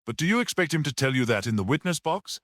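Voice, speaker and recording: A man's voice: a little high, sing-song, fast talker, fairly bright tone, no room sound, clean studio sound. A man voicing an earnest priest-detective in an audiobook, explaining things with rhetorical passion. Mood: earnest, wondering. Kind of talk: dramatic audiobook character reading.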